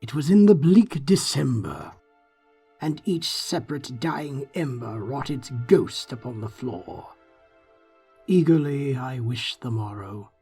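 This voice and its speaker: Slow, dark, theatrical gothic narrator. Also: mid-range voice, expressive, slightly rough. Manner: theatrical, brooding, swinging from hushed to forceful. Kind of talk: dramatic gothic poetry narration. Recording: faint music behind. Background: faint music.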